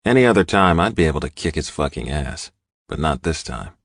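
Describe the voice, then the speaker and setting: A man's voice: a bass voice, big pitch swings, fairly quick, fairly bright tone, no room sound, studio quality. A man reading scripted first-person fiction as an audiobook narrator.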